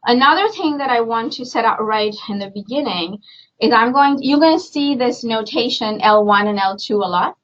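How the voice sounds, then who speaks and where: Woman, lecturer, fairly high voice, filler-heavy chatty delivery, dull lo-fi sound. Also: expressive, moderate pace, fairly bright tone. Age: middle-aged. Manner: chatty, self-correcting, frequent fillers. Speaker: university lecturer. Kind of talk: academic seminar talk. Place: seminar room with live audience.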